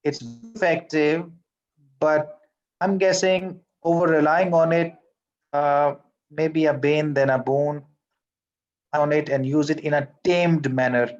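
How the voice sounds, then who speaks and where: A man's voice: fairly high pitch, a little mellow. A man taking part in an online meeting on a video call, speaking hesitantly with fillers. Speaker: meeting participant. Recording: dull lo-fi call audio.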